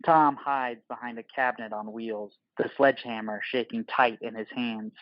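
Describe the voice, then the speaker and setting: A man reading scripted stage directions for an audio drama as narrator, in deliberate, dramatic short phrases. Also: medium pitch, steady tone, no room sound, muffled recording.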